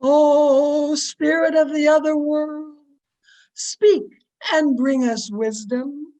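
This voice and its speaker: A woman's voice: high-pitched, fairly even intonation, slow pace, warm tone, low-quality recording. A woman playing a pompous, bossy society wife who fancies herself a medium, in theatrical old-time radio style. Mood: grand trance. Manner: theatrical, imperious, grandly emphatic. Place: remote recording over a video call.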